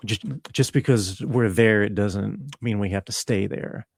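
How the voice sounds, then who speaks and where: A man chatting casually as an interview guest, explaining his creative work in a laid-back way with pauses. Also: on the low side, vocal fry, dry recording. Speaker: interview guest, composer and musician. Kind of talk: casual conversational interview talk.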